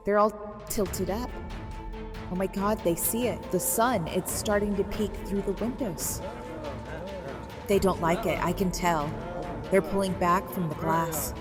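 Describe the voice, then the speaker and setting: An actress playing a terrified night nurse in a horror audio drama, breathless and panicky. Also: female voice, medium pitch, measured pace, long pauses, music in the background, lightly acted. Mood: hushed dread. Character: terrified female night nurse trapped in a care home.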